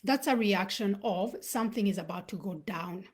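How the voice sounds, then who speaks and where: A woman who was born in Uganda and raised in Sweden, hosting a live talk on self-awareness and telling personal stories in a reflective, unhurried way. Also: medium pitch, slightly rough. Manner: reflective, storytelling with pauses. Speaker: host.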